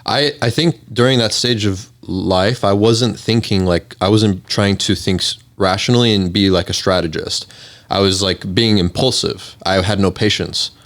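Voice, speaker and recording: Low-voiced young man chatting casually. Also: moderate pace, fairly bright tone. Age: 20s. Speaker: interview guest, entrepreneur. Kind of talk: casual chat, personal storytelling.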